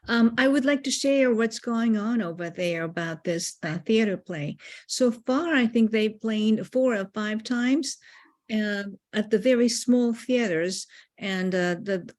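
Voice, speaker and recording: A woman speaking politely and haltingly at an activist meeting, often searching for words. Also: mid-range voice, a little mellow. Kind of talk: informal meeting remarks.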